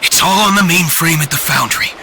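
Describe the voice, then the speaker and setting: Man playing a high, whiny captured henchman. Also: a little fast, bright, forceful tone, a little hiss or hum, rough recording. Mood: exasperated.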